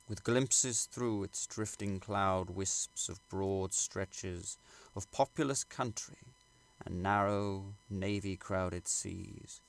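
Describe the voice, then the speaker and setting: A man reading literary prose aloud as an audiobook narrator, in a measured, formal and dramatic way. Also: fairly low pitch. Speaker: audiobook reader.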